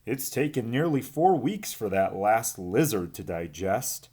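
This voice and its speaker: A man's voice: on the low side, very animated, unhurried, dark tone, slightly rough, heavily acted. A man voicing a grumbling, lazy old snake from a children's storybook, groaning as he talks.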